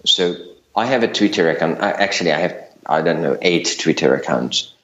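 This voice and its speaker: A man's voice: sits low, expressive, fairly quick, a bit bright, a little hiss or hum. A middle-aged man with a Hungarian accent, talking as an interview guest in a hesitant way, with many fillers and restarts. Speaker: guest, LinkedIn consultant. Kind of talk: casual interview talk. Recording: roomy, dull lo-fi recording.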